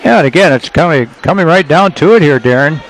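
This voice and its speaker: A man giving color commentary on a basketball radio broadcast, offering relaxed, chatty asides to his play-by-play partner. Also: fairly high pitch, fast, poor audio.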